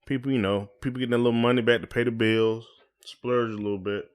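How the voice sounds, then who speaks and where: A man's voice: mid-range voice, fairly even intonation, fast, clear, resonant tone. A man with an American accent hosting a podcast in casual, colloquial conversation. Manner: colloquial, often checks in with "you know". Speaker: podcast host.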